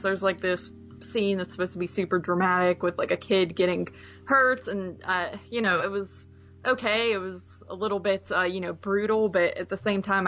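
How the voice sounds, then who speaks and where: A woman in a casual podcast chat, reviewing a film in an offhand, informal manner. Her recording is a little dull and lo-fi. Music is clearly audible behind her. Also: fairly low pitch, a bit bright. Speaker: podcast co-host.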